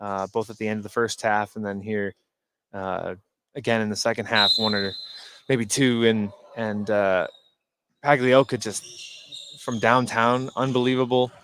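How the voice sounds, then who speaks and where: A man's voice: lowish voice, little pitch movement, a little slow, noisy background. An American man giving conversational color commentary on a live basketball game. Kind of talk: conversational live sports analysis. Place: indoor gym at a basketball game.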